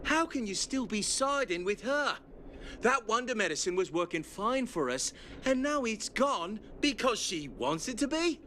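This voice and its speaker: Bitter sarcastic patient, high-pitched and lively. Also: male voice, measured pace, slight background noise, full character voice. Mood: resentful. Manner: scornful, sarcastic. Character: bitter resentful male patient, scornful and defiant. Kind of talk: game cutscene voice acting. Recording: game score music behind.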